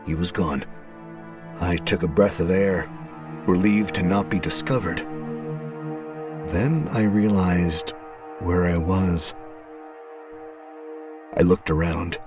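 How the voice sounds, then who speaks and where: A man delivering scripted first-person horror narration in a dramatic, suspenseful way, with deliberate phrasing. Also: sits low. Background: music.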